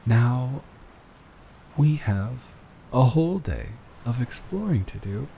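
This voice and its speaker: A man playing a sweet, nervous boyfriend in an improvised intimate roleplay audio, speaking in a halting, earnest, tender way. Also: mid-range voice, big pitch swings, vocal fry, dark tone, a little hiss or hum, exaggerated voice. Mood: affectionate, relaxed. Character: sweet, smitten, nervous boyfriend proposing to partner.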